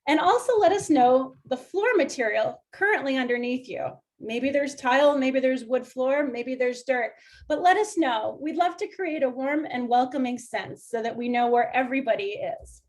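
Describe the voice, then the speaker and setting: A woman with a high, light voice opens an online workshop, talking over a video call. She is welcoming and gently instructive, and she walks people through each step. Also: expressive, normal speed. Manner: warm, inviting, gently instructive. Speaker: workshop facilitator.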